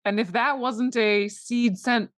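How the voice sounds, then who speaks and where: A woman's voice: fairly high pitch, fairly even intonation, takes it slowly, no echo, studio quality. A woman co-hosting a podcast, talking casually about films in conversation. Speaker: podcast co-host. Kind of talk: casual film discussion.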